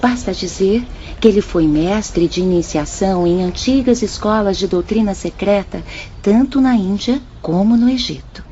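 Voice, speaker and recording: An actress with a Brazilian accent playing a kind, encouraging young spirit sister in a gentle, formal radio drama style. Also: female voice, medium pitch, moderate pace, close to an everyday voice. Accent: Brazilian Portuguese. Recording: dull band-limited audio, faint noise and ambience.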